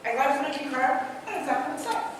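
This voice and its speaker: Trinidadian woman, high voice, motivational stage talk, energetic, echoey hall heard from a distance. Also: quick pace, slight background noise. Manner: energetic, asks the crowd questions, tells personal stories. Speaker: motivational speaker. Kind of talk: live stage talk to an audience. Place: large hall with an audience.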